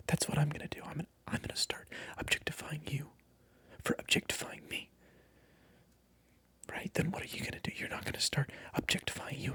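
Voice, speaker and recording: An ASMR creator whispers close to the mic with very breathy, husky delivery. The voice sits at a middling, ambiguous pitch. The talk is teasing and mock-offended, circling back on the same phrases. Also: sharp tone, no echo. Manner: mock-indignant, teasing, repetitive. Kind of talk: whispered ASMR roleplay monologue to the viewer. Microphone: close microphone.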